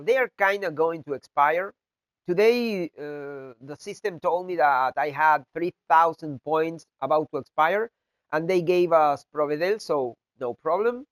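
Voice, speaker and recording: A man with a stuffy, nasal voice from the flu talks through a video game tutorial in his second language. His pitch sits a little high and his pace is very slow, stopping and restarting often with fillers. He is close to the mic in a dry, deadened room. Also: dry recording. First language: not English. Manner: halting, many fillers and restarts. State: has the flu, congested. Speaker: video game content creator. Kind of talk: casual gameplay tutorial talk.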